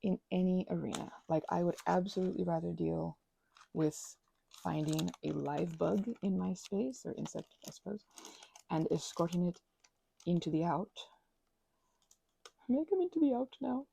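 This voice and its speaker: Woman, ASMR rambler, thick vocal fry, very mellow dark tone, meandering talk with whispers. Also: fairly low pitch, moderate pace, long pauses, a little hiss or hum, poor audio. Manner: meandering, filler-heavy, drifting into whispers. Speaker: ASMR creator. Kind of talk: soft-spoken ASMR craft rambling. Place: indoors at home.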